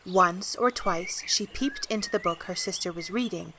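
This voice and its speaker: A woman's voice: fairly low pitch, normal speed, bright, forceful tone, a little hiss or hum. A woman giving scripted storybook narration as the narrator of an audio drama. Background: music.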